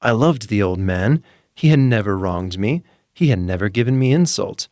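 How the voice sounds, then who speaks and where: Gothic horror monologue: man playing nervous murderer insisting he is sane, lowish voice, very dry recording, emphatic and deliberate. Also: lively intonation, a little mellow, dry recording. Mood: brooding, obsessive. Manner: theatrical, deliberate, emphatic pauses and repetitions. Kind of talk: dramatic Gothic horror story narration.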